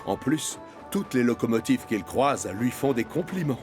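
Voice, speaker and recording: A man narrating a children's cartoon in French, in an expressive storytelling manner. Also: mid-range voice, normal speed. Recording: music bed behind the voice.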